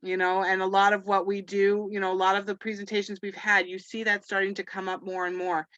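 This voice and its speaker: A woman's voice: mid-range voice, level tone, fairly quick, a bit bright, no echo. Chatty Canadian woman on a muffled call. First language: French. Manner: chatty, rambling, many "you know" fillers. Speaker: webinar host. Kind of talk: casual webinar talk to teachers.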